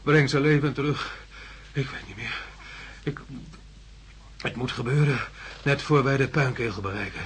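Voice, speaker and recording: An actor playing an exhausted, delirious astronaut in a radio drama, muttering haltingly in broken, repeated phrases. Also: male voice, mid-range voice, a bit bright, slight background noise, natural voice. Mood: anguished delirium. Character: exhausted delirious male astronaut reliving a perilous landing.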